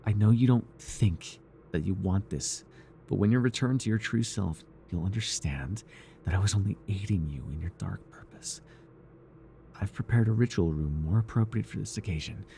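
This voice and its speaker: A man playing a devoted, obsessive cult servant and captor in an intimate ASMR roleplay, speaking reverently and haltingly, with sighs and stammers. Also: lowish voice, warm tone, slight background noise, lightly acted. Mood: coaxing, apologetic.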